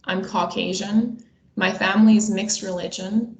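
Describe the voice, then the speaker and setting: A woman in her twenties delivers a prepared webinar presentation. Her mid-pitched voice has a noticeable creak, and she explains each point in a measured way. The sound is roomy, with audible echo around her words. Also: fairly even intonation. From Bosnia and Herzegovina. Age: 20s.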